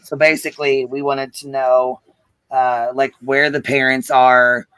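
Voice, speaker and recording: A man's voice: medium pitch, level tone, a little slow, a bit bright, clear, resonant tone, no room sound, low-quality recording. A man hosting a true crime livestream, casually recapping news in an informal, questioning way.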